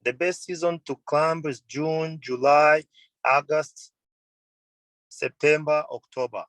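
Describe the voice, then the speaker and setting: A man's voice: on the high side, fairly even intonation, takes it slowly, fairly bright tone, dry recording, poor audio. A man in his thirties from Tanzania, a mountain guide, answering interview questions on a video call in earnest, simple phrasing, often repeating and correcting himself. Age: 30s. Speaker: mountain guide and tour company owner.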